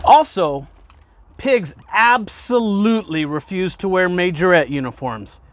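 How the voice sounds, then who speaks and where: A man with a fairly high voice reads a picture book aloud for children. His delivery is very animated, rising and falling widely. He puts questions to the young listeners and sets off key phrases with emphatic pauses. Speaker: guest reader, fire department deputy chief.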